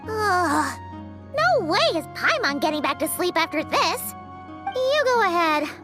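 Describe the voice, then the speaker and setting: A woman voicing a small, childlike fairy companion in a video game, perky, excitable and protective, with an exclamatory way of talking. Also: extremely high pitch, measured pace, exaggerated voice. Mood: suspicious, grumpy. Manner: refers to self in third person, exclamatory. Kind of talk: animated video game voice acting. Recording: music behind the voice.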